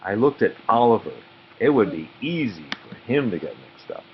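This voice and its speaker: A man narrating a children's story aloud expressively and deliberately, with dramatic pauses. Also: lowish voice, very animated. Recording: muffled audio with faint background noise.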